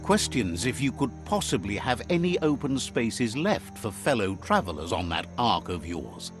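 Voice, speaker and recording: An actor playing a wry, fond storybook narrator in a game, with a measured storyteller's delivery. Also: male voice, lowish voice, sing-song, over music. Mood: fond, reassuring. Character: male storybook narrator relaying creatures' words, wry and fond.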